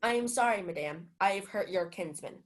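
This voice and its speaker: A woman's voice: medium pitch, animated, fairly quick, natural voice. Casting: young woman as a gallant youth, tender and earnest, mid-range voice, radio-play Shakespeare. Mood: apologetic, tender. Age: young adult. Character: gallant, tender young man, long-lost twin brother.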